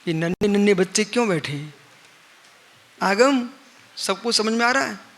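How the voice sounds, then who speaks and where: A man's voice: high-pitched, expressive, measured pace, fairly bright tone. A middle-aged man, a Jain monk, giving a conversational sermon to an audience, asking them questions and acting out the people in his stories. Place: live assembly hall.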